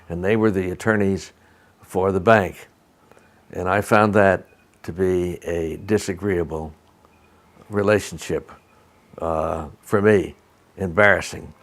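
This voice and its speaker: Older American man, low-voiced, reminiscing. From Albany, New York, USA. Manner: measured, halting, digressive. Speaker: interviewee, retired lawyer recalling career. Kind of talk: oral history interview, reminiscing.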